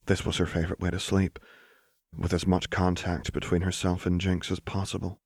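A male audiobook narrator with a deep, husky voice. He reads fiction softly and gravely, with open emotion. The recording is close and dry, free of room sound. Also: little pitch movement, moderate pace, no room sound.

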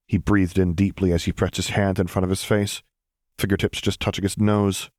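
A man's voice: low-pitched, flat delivery, moderate pace, darkish tone, no room sound, studio quality. A man reading scripted fiction as an audiobook narrator.

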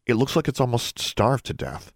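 A man's voice: medium pitch, very animated, normal speed, creaky, no echo, studio quality, pitched above the performer's own voice. A man voicing a curious, blunt young girl in an audiobook, with a questioning, taken-aback manner. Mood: puzzled.

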